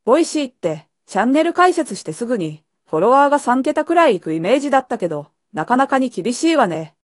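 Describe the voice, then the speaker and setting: A woman's voice: high voice, big pitch swings, fast talker, dry recording, clean studio sound. A woman giving short scripted remarks as a commentator.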